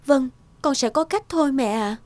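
A woman's voice: high-pitched, moderate pace, darkish tone, no room sound, lightly acted. A woman voicing an anxious, loving young mother in a cartoon, earnest and emotional. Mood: concerned. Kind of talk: cartoon dubbing. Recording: music behind the voice.

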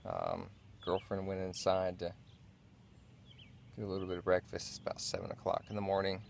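A man with a very low, gravelly voice talks through camping gear in a low-key, halting vlog style. He speaks very slowly, recorded on a handheld camera with some noise in the audio. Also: monotone. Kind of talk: casual vlog gear review. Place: inside a small backyard tent.

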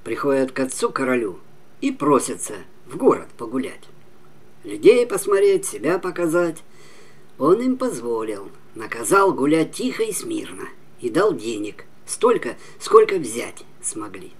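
An elderly man in his seventies tells a fairy tale as a storyteller. His voice is husky and swings widely in pitch. He goes slowly, leaning on key words and pausing for drama, sometimes sinking to a near whisper. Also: big pitch swings. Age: 70s. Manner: slow, emphatic, with dramatic pauses and drops to near whisper. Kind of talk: expressive fairy-tale narration.

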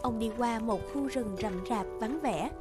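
Higher-pitched female fairy-tale narrator. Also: unhurried, loud music behind the voice.

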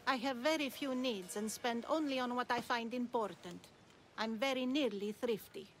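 An actress playing an enigmatic, lofty older wise woman in a video game, speaking in a measured, cryptic, superior way. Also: fairly high pitch, fairly bright tone, slight background noise, close to an everyday voice. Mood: cryptic, testing. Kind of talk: video game cutscene dialogue.

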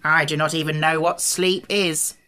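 A woman voicing a touchy male wooden sawhorse in a storybook, gruff and growling. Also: deep voice, flat delivery, moderate pace, sharp tone. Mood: matter-of-fact. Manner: growling, indignant. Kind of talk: audiobook character voice.

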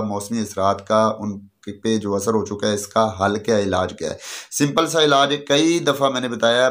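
A man's voice: medium pitch, a bit bright. A man in his thirties with a Pakistani accent, giving informal instructions to camera and repeating his points step by step. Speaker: poultry channel host. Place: small furnished room indoors.

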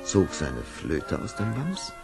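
A man's voice: low voice, huge pitch swings, slow. A man narrating a fairy tale for a children's audio play in a scripted storytelling style. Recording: old audio play with music behind.

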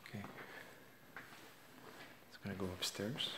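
A man's voice: deep voice, unhurried, noisy background. A man, a realtor, walking through an empty house and pointing out the rooms in a plain, matter-of-fact way. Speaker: realtor giving a home tour. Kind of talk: casual walk-through narration. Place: empty house and its yard, indoors and outdoors.

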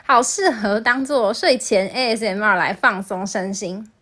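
A woman's voice: medium pitch, measured pace, background noise. A woman narrating a scripted review as the host of a video.

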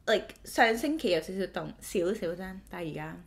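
A woman's voice: on the low side, brisk, creaky. A woman co-hosting a podcast over a video call, chatting playfully and hopping between English and Cantonese. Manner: animated, playful, jumps between languages. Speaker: podcast co-host. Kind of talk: casual chat mixing English and Cantonese. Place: home in Florida.

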